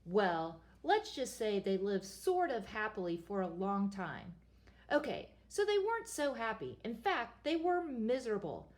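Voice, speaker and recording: A woman's voice: medium pitch, big pitch swings, unhurried, a little mellow. Woman reading a storybook aloud, playful. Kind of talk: reading a picture book aloud.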